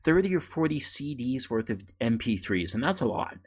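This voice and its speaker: A man's voice: medium pitch, big pitch swings, normal speed. Middle-aged man telling stories casually. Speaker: podcast host. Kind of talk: casual conversational storytelling.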